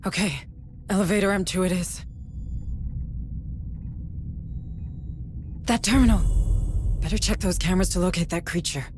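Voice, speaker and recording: A woman's voice: lowish voice, fast talker, noisy background, close to an everyday voice. An actress playing a tense, resourceful female officer hunted on a sci-fi station, urgent and commanding, in a horror game. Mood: determined, wary.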